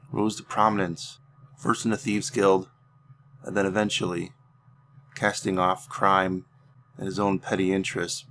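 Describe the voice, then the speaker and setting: A man with a low voice narrates a fantasy saga for a game roleplay. He speaks solemnly and deliberately, leaving dramatic pauses between phrases. There is faint background noise in the recording. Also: fairly bright tone. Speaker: host narrating a video game roleplay series.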